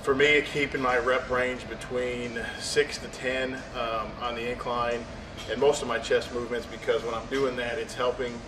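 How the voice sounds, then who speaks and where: A man's voice: mid-range voice, little pitch movement, normal speed, noisy background. A man in his thirties, a competing bodybuilder, talking casually and matter-of-factly about his training in a large indoor gym. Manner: plain, matter-of-fact, trailing fillers. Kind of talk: casual gym talk to camera. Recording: echoey gym audio from a distance.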